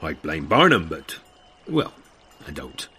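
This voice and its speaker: A man's voice: extremely low pitch, sing-song, slow, bright, forceful tone, breathy edge, exaggerated voice. An actor playing a salty, illiterate but secretly shy longshoreman who talks in blustery old-fashioned sailor speech in a comic audio drama. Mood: gruff bluster.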